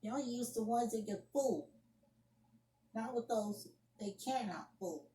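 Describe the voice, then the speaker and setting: An indignant young woman, acted by a woman in a homemade solo drama, with a fairly high voice. She scolds down the phone, hammering points home by repeating them. The room around her rings with a noticeable echo. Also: a little slow, dark tone, lightly acted. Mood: emphatic, reproachful. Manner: scolding, emphatic, repeats phrases. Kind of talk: amateur solo acted monologue, one side of a phone argument. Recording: roomy echoing home recording.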